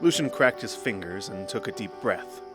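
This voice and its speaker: Low-pitched male storyteller over music. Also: animated, moderate pace. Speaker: narrator. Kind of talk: scripted storybook narration, fantasy audio drama.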